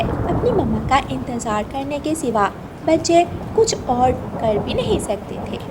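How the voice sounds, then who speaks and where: A woman's voice: high-pitched, very noisy. A woman narrator reading a scripted children's story.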